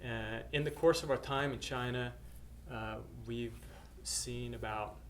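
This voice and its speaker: Middle-aged male missionary from Norway, plain mid pitch, halting testimony with fillers; faint background noise. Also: steady tone. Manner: hesitant, many uhs and restarts. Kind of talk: personal testimony to camera.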